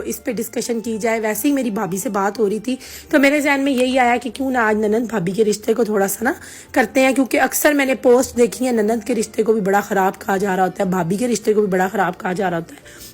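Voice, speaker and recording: A hoarse woman with a sore throat, vlogging casually and earnestly giving her opinion straight to her viewers. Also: fairly high pitch. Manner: chatty and earnest, addressing viewers directly.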